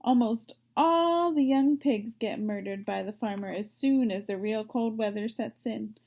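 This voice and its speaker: A woman's voice: on the high side, unhurried, darkish tone. A woman voicing a gloomy, snappish old female sheep in a storybook, emphatic and matter-of-fact. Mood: blunt.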